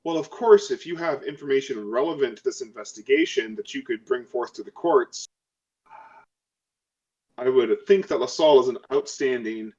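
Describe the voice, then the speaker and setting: A man's voice: medium pitch, moderate pace, a little mellow, recorded from a distance, muffled recording, lightly acted. A man playing a female lawyer in a tabletop game, formal, pointed and coolly intimidating, with sarcasm.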